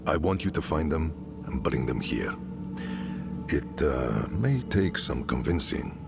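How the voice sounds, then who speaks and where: A man's voice: very deep voice, expressive, measured pace, vocal fry, exaggerated voice. An actor playing a wry, fatherly middle-aged mercenary leader and smith in a video game. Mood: somber. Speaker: game character. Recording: game soundtrack music behind. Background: game music.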